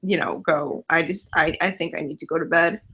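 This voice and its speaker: A woman's voice: deep voice, steady tone, brisk, pushed and bright, breathy edge. A woman in a casual group chat, sleepy and easygoing. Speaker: stream guest.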